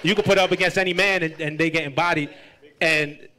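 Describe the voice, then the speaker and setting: A man's voice: a little high, fairly even intonation, fast, bright, forceful tone. An American man casually hosting a hip-hop interview, talking in an emphatic, hyped way and repeating himself for effect. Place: live event before an audience.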